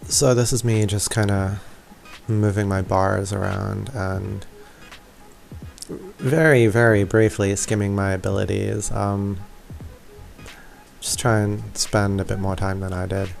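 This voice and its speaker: A man's voice: fairly low pitch, fairly even intonation, measured pace, creaky, long pauses, faint music behind. A young man giving casual, offhand, self-deprecating gameplay commentary. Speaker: gaming video creator.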